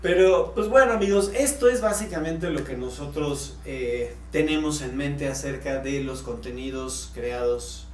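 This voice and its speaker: Higher-voiced Mexican man in an echoey room. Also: measured pace, hardly any pauses. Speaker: podcast co-host. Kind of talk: casual conversational podcast talk. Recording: echoey room, faint background hum.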